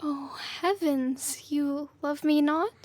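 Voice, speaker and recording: A woman's voice: high-pitched, steady tone, slow, a little mellow, no room sound. A young woman playing a passionate, grief-stricken young Trojan woman in love, with impassioned verse delivery in a Shakespearean audio drama. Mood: anxious, wounded. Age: young adult.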